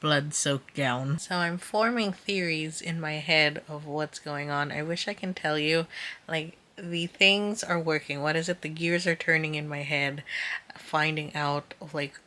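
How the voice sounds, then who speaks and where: A woman speaks in a casual vlog style with a voice pitched a little below average. She is chatty and informal, thinking aloud as she shares reactions to her reading. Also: a little slow, bright, forceful tone. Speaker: book vlogger.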